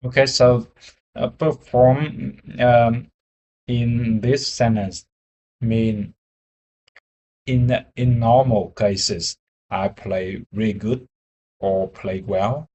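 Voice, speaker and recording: Slow, hesitant Vietnamese-accented man. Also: mid-range voice, fairly even intonation, mellow, slight background noise, rough recording. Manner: hesitant, searching for words, restarts often. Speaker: English learner. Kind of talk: conversation practice.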